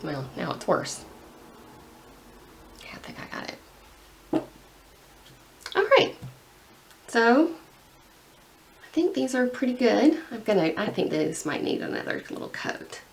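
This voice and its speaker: A woman's voice: on the high side, very animated, unhurried, gravelly, pauses often, slight background noise, poor audio. A young woman chatting casually while painting her nails, rambling and full of "like". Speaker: beauty vlogger.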